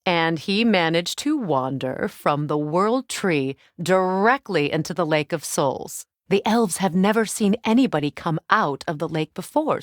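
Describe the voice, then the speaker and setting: A woman voicing a proud, measured Norse warrior goddess in a dramatic video game, with formal, deliberate and dry wording. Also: on the low side, no echo.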